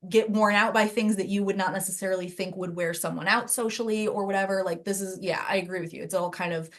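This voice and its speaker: A woman's voice: mid-range voice, steady tone, quick pace. A woman hosting an online session in a casual, chatty and slightly rambling way, speaking over a video call. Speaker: host of an online learning session. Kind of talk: casual moderated chat.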